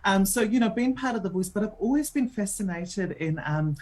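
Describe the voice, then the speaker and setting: A woman's voice: medium pitch, animated, fairly quick, darkish tone, breathy edge. A woman with a New Zealand accent, a radio show host being interviewed on air, talking in a chatty way with many filler ums.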